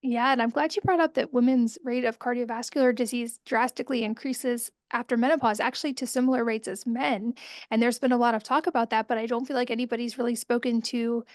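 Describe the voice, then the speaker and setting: A woman's voice: fairly high pitch, steady tone, quick pace, darkish tone, dry recording, clean studio sound. A woman hosting a podcast interview, speaking enthusiastically and fluently in long, linked sentences. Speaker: podcast host.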